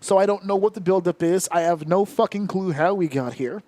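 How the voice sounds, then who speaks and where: High-voiced profane man hosting a podcast. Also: fairly quick, mellow, no room sound. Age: 30s. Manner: profane, emphatic and rant-like. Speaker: podcast host. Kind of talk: casual round-table chat.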